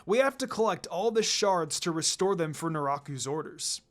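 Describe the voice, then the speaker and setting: A man performs a young mercenary swordsman from a fantasy story. He is smug and cocky, talking in a smooth, casual way. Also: on the high side, animated, moderate pace, a bit bright. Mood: confident.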